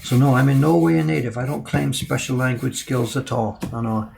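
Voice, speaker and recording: Gravelly man chatting while he works. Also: mid-range voice, fairly even intonation, brisk, darkish tone, slight background noise. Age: older. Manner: chatty, answers viewer questions while printing. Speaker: woodblock printmaker streaming his work. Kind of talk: casual live-stream chat while working.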